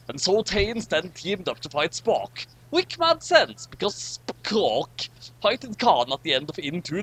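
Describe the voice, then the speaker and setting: A man's voice: a little high, fairly bright tone, a little hiss or hum. A man doing a dramatic, comic reading of badly written fan fiction, often stumbling over the wording.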